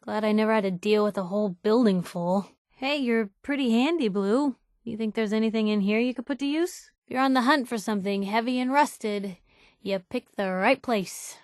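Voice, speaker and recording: An actress playing a sardonic, plucky young reporter with an American accent, making casual companion remarks in a video game. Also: female voice, a little high, moderate pace, a little mellow, no echo, clean studio sound, natural voice. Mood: wry, cautious. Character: wry, plucky young female reporter in a post-nuclear wasteland.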